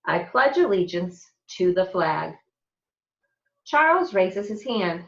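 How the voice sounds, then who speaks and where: A woman with an American accent reads a children's picture book aloud as a teacher, expressively and deliberately, explaining as she goes. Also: medium pitch, very animated, vocal fry, roomy sound, dull audio. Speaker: teacher reading aloud to second graders.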